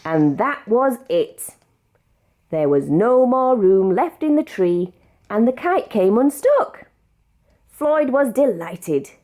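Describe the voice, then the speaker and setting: British woman reading aloud, pitched high and lively. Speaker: children's book narrator. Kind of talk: read-aloud storybook narration.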